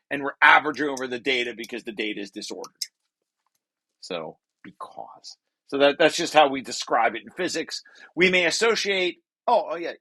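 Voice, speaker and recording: A man's voice: a little high, sharp tone. A man presenting a research paper draft informally to colleagues, repeating and rephrasing key phrases as he explains.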